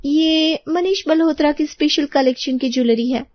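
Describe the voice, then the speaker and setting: A haughty rich neighbour lady played by a woman in a story, pitched high and recorded very dry. She shows off and talks down to everyone, snappish and boastful. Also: normal speed, no echo, highs cut off, close to an everyday voice. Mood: smug, boastful. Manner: condescending, showy.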